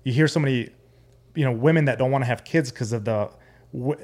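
A man's voice: medium pitch, brisk, darkish tone. An American man speaking as a podcast guest in an earnest, explanatory, conversational way. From United States and Jordan.

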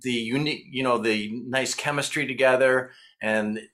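A man's voice: medium pitch, fairly even intonation, normal speed, fairly bright tone. A middle-aged man with a Michigan accent, a guest musician chatting loosely in an interview, rambling and chuckling. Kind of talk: casual interview chat.

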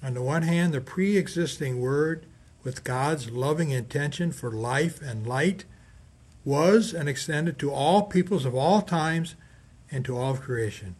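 A man reading a scripted scripture reflection as a priest, measured and deliberate, with emphatic pauses. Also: a little high, a little mellow, breathy edge. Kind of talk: scripted sermon reflection read aloud.

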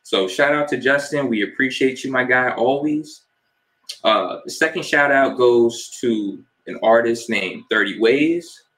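A young man from New Jersey co-hosting a casual podcast, relaxed and easygoing as he gives shout-outs and asks questions. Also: medium pitch, measured pace, rough recording. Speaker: podcast co-host.

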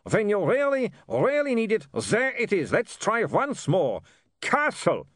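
A man's voice: sits high, huge pitch swings, unhurried, fairly bright tone, no echo, studio quality, pitched above the performer's own voice, full character voice. A man voicing a gleefully theatrical, exuberant male vampire in an audiobook. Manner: exclamatory, exuberant.

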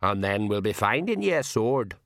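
An old Scottish pixie, acted out by a man, bossy and folksy, speaks in blunt, coaxing dialect. The voice swings up and down in pitch, recorded close and dry, as a storybook character. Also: sing-song, moderate pace, a bit bright, dry recording. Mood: bossy, matter-of-fact. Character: old male pixie, bossy, folksy spokesman for his chief. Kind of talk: audiobook character voice.

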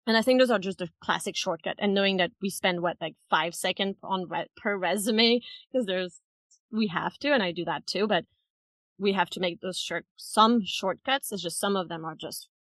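A woman's voice: medium pitch, normal speed, fairly bright tone, no room sound. Interview guest: woman, mid-range voice, thoughtful and explanatory, conversational delivery. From France. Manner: thoughtful, explanatory, often checks with "right?" and "you know". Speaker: interview guest, head of people at a tech company.

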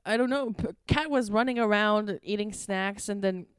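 A young woman with a Latvian accent, co-hosting a podcast and telling an animated story with a playful edge. Her voice is smooth and resonant, recorded close and dry with no room sound. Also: mid-range voice, moderate pace, no echo. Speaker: podcast co-host. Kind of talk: casual comedic chat.

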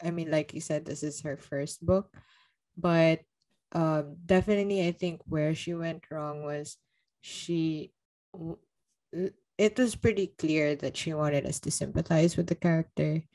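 A woman's voice: low-pitched, unhurried, mellow, rough recording. A woman co-hosting a book podcast, talking casually in a blunt, teasing way and often laughing as she speaks.

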